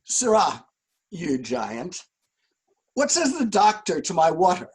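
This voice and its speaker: An actor playing Falstaff, a fat boastful old knight, in a slow, theatrical Shakespeare reading over a video call. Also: male voice, high-pitched, huge pitch swings, slight background noise, heavily acted. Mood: blustering. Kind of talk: live-streamed Shakespeare scene reading.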